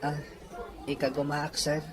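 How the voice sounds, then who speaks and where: A man's voice: fairly high pitch, monotone, a little slow, a little hiss or hum, voice pushed up, lightly acted. A man playing a meek, polite young working student in a serial drama. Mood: humble, earnest. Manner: deferential. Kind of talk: acted drama dialogue.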